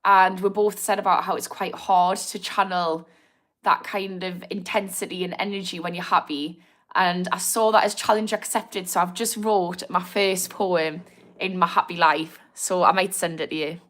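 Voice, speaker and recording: A woman's voice: on the low side, steady tone, moderate pace, a bit bright. Chatty Newcastle woman on a livestream. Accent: Geordie (Newcastle). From Newcastle, England. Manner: chatty, informal, reads viewer comments aloud, laughs easily. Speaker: livestream host on addiction recovery. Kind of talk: casual live-stream chat reading comments.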